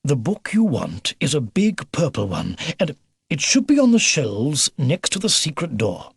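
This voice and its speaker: A kindly old grandfather beaver, acted out by a man for a storybook. He blusters like an old-fashioned military officer, in a gravelly, husky voice that swings up and down with excitement. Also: a little high, measured pace, no echo, pitched above the performer's own voice, exaggerated voice. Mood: brisk, rueful. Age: older.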